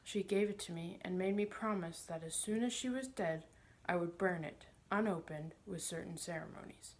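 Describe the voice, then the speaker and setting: A woman's voice: fairly low pitch, normal speed, slight background noise, close to an everyday voice, rough recording. Woman acting out a pompous, sly old uncle. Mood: confiding. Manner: smug, condescending, wheedling. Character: pompous, self-satisfied, sly older uncle, male magician.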